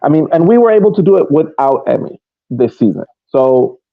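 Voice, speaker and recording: A man's voice: a little high, animated, a little fast, gravelly, no room sound. A man chatting as a sports podcast panelist, reasoning aloud a little hesitantly and repeating his phrases. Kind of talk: casual sports podcast chat. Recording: thin phone-like call audio.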